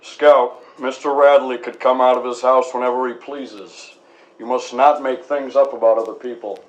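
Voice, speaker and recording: A man's voice: mid-range voice, fairly even intonation, close to an everyday voice. A man playing a principled lawyer father, muffled. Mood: stern, admonishing. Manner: measured, formal, gently admonishing. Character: principled, measured Southern lawyer and stern father. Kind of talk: acted radio interview for a school project.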